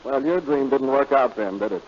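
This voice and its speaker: A man announcing and trading banter on a 1930s radio comedy show, with a booming announcer delivery. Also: a little fast, very noisy. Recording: dull lo-fi old radio recording.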